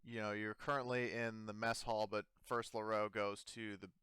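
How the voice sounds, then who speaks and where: A man narrating a tabletop game as game master in a halting, informal way. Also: fairly low pitch, little pitch movement, a bit bright, smooth, dry recording, clean studio sound.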